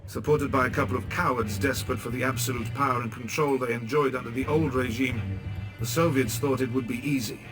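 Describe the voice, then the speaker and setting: A man's voice: mid-range voice, steady tone, fairly quick, close to an everyday voice, rough recording. Man doing a weary war veteran, grave narration, reflective, mid-range voice, faint music. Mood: somber. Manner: grave, reflective narration, heated when arguing. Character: male war veteran turned recluse, weary and bitter. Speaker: narrator and main character. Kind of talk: game cutscene narration and dialogue.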